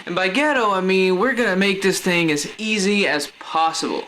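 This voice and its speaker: A man's voice: high-pitched, normal speed, pushed and bright. A man presenting a casual, hands-on DIY tutorial with informal, slangy, laid-back phrasing. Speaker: presenter of a DIY how-to video. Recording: noticeable background noise.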